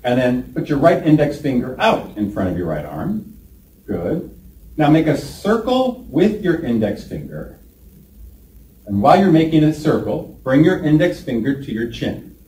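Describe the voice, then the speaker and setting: A man in his forties runs a presentation skills workshop, upbeat and deliberate, stretching out the key points. His voice is mellow and sits at an average pitch. It was caught from across a large training room, with echo and a faint hiss. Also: big pitch swings. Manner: upbeat, calls on trainees by name, slows down to stress points. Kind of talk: classroom workshop lecture. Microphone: room camera from a distance. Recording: echoey room, distant mic.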